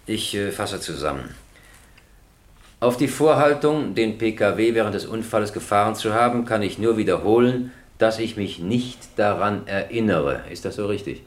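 A man plays a presiding judge in a radio crime play. He is stern, official and measured, with a fairly low voice. The hall echoes around his words. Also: lively intonation, lightly acted. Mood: formal, stern. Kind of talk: German radio crime drama acting. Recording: roomy, echoing courtroom sound.

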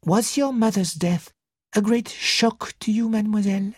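A woman narrator voicing a courteous, self-assured male detective who speaks gently and thoughtfully in an audiobook. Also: medium pitch, lively intonation, unhurried, husky, no echo, clean studio sound, pitched above the performer's own voice. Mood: gentle, probing. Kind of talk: audiobook character dialogue.

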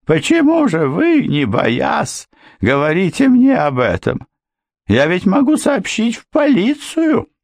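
A man voicing a frightened middle-aged housekeeper in a high, strained, tearful voice. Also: huge pitch swings, takes it slowly, vocal fry, no echo, clean studio sound, exaggerated voice. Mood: alarmed. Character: anxious middle-aged female housekeeper, shrill, pleading. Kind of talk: audiobook character voice.

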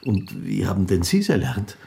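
A low, creaky, mellow male voice of a shy music lover in a literary radio play. He tells his story earnestly and hesitantly, drifting into digressions in formal, old-fashioned language. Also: sing-song, normal speed, heavily acted. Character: gentle, shy, rambling amateur fiddler in love with music. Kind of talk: first-person literary radio drama acting.

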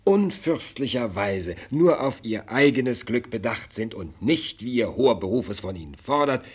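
An actor playing a shrewd, blunt minister of state in a German radio play, husky, with formal, rhetorical courtly speech. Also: male voice, medium pitch, lively intonation, moderate pace. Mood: stern, reproachful.

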